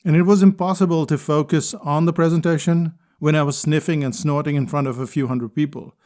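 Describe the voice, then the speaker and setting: A man calmly delivering a reflective spoken essay, telling a personal story at a measured pace with deliberate pauses. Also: fairly high pitch. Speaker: speaker and consultant.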